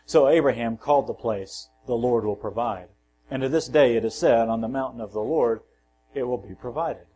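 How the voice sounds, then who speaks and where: A man preaching a sermon in a church, measured and plain, swelling on scripture and dropping softly at the ends of phrases, with self-deprecating asides. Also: medium pitch, a little hiss or hum, rough recording. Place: church sanctuary.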